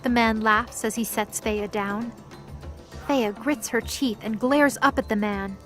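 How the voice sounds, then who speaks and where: A woman with a fairly high voice narrates a scripted fantasy story. Faint music plays behind her narration. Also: fairly even intonation, measured pace, a bit bright.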